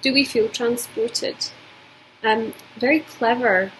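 A woman in her forties from Scotland with a Scottish accent, giving a measured, reflective recorded art lesson from home, with frequent ums. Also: fairly high pitch, a bit bright, slight background noise, low-quality recording. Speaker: art teacher. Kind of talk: recorded video lecture. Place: indoors at home.

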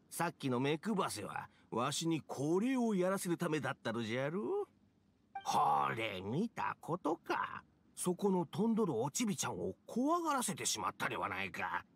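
A man's voice: a little high, huge pitch swings, measured pace, gravelly, a bit bright, full character voice. A man playing an ancient, mischievous male fox spirit in a video game, with old-fashioned, theatrical elderly speech. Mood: teasing. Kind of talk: Japanese video game acting.

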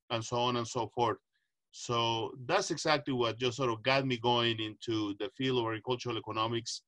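A middle-aged man from Costa Rica speaks on a video call, pausing often with fillers as he explains his work. His voice is bright and forceful, at a middling pitch. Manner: thoughtful, many "uh" fillers, earnest. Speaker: panelist, agricultural economist. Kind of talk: casual panel answer. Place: home, video call with virtual background. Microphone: webcam or laptop mic on video call.

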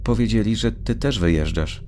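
A man voicing an eager, earnest teenage girl, a caring sister who talks persuasively, in a dark audio story. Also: lowish voice, moderate pace, background noise, voice pushed up, close to an everyday voice. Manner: pleading, keen, persuasive.

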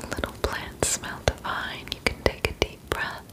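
A young woman in her twenties playing a friendly forest witch in an ASMR roleplay, whispering breathily and gently, close to the microphone. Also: lightly acted. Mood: soothing, reassuring. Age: 20s. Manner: slow, gentle, reassuring. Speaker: ASMR performer.

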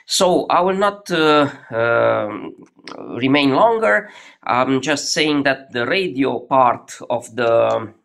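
Male hobbyist presenter, mid-pitched, unhurried and halting, casual demo recorded on a phone indoors. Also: lively intonation, gravelly, fairly bright tone. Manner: halting, searching for words. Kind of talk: casual improvised demonstration of a homemade radio. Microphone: phone held over the workbench.

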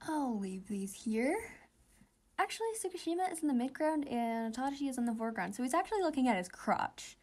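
A woman's voice: sits high, lively intonation, fairly quick, a little mellow. A young woman reading comics aloud and reacting casually as a fan, in a gushing, giggly, sweary way.

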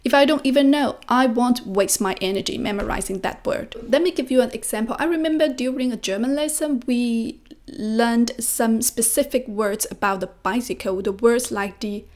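A woman's voice: a little high, moderate pace, darkish tone. A young woman from China, a native Chinese speaker, giving instructional advice to camera on a close desk microphone. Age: young adult. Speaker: language-learning video host. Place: quiet room at home. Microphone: close desk microphone with pop filter.

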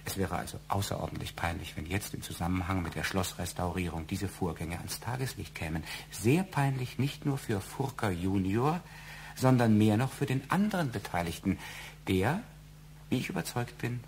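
Needling husband with a low, gravelly voice. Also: male voice, animated, slight background noise. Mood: insinuating. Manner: deliberate, insinuating, formal wording. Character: needling, evasive middle-aged husband, bitter son-in-law. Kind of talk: German radio crime drama acting.

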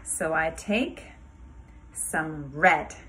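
A woman kindergarten teacher reading a rhyming picture book aloud to young children in a sing-song way, pausing dramatically before rhymes and asking questions. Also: sits low, pushed and bright, slight background noise.

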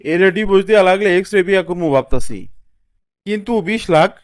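Henpecked cartoon husband male actor: high-pitched, crisp, Bangladeshi accent, grumbling and fed up. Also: expressive, fast talker, dry recording. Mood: aggrieved. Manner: aggrieved, grumbling. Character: exasperated, complaining young husband, henpecked. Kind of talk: comic cartoon dubbing.

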